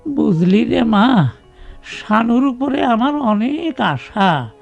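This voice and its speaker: An actor playing an ailing old Bangladeshi village father in a radio drama, doting and pleading, with a rural accent and a frail, creaky voice. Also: very high voice, expressive, drawn-out words, muffled recording, faint music behind, exaggerated voice. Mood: hopeful. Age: older.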